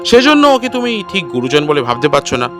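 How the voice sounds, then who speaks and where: Man acting a calm detective, fairly high voice. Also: a little fast, over music. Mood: reflective, reassuring. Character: calm, reasonable male detective, older friend. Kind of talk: audio story character dialogue.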